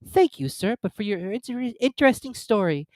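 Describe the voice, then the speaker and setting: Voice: medium pitch, very animated, moderate pace, a little mellow, dry recording, clean studio sound, pitched above the performer's own voice, close to an everyday voice. A reader voicing Alice, a polite, curious young girl who questions primly. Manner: prim, slightly indignant questioning.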